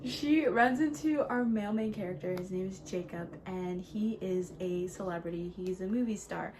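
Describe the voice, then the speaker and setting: A woman with a mid-pitched voice talks casually as a book vlogger. She is gushing and emphatic in how she speaks. She often repeats words to press her point. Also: normal speed, darkish tone, slight background noise. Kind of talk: casual chatty video talk about books.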